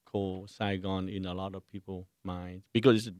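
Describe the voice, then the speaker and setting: Soft-spoken Vietnamese-accented priest. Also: male voice, sits low, flat delivery, dry recording. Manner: halting, searching for words with many fillers. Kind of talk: radio interview answers. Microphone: studio microphone.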